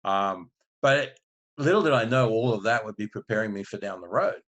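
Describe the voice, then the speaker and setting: A man from Australia in his fifties, a marketing agency owner and guest, telling his story in a relaxed, rambling way with many restarts. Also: fairly low pitch, normal speed. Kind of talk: casual interview storytelling.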